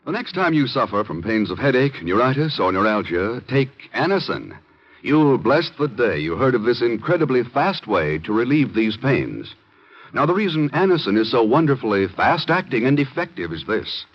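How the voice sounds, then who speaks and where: A man's voice: medium pitch, sing-song, normal speed. A male announcer reading a scripted advertisement on 1950s radio. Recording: muffled vintage radio broadcast.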